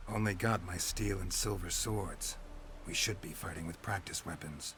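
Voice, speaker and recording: An actor playing a gruff, laconic monster-hunting swordsman with a deadpan, weary manner in a video game. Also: sits low, normal speed, slight background noise. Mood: dry, wry. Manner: terse, deadpan. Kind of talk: video game character acting.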